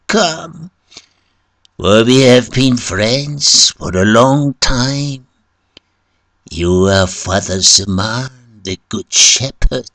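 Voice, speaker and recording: A man voicing a cunning, proud, wounded Satan in a story, pleading in drawn-out, commanding phrases. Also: low-pitched, vocal fry, sharp tone, no echo, heavily acted. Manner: slow, drawn-out, commanding pleas.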